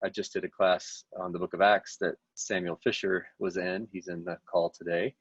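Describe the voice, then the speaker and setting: A man's voice: low-pitched, level tone, moderate pace, breathy edge, no room sound. A middle-aged man from North Carolina, a New Testament professor introducing himself on a video call with upbeat enthusiasm. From North Carolina, USA. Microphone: video call webcam. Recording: dull, dry webcam audio.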